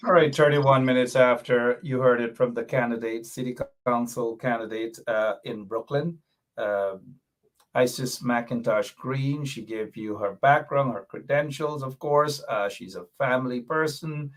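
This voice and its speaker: A man's voice: mid-range voice, a little slow. Rambling male talk show host. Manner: rambling, lots of fillers, calls out viewers by name. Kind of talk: casual talk show hosting.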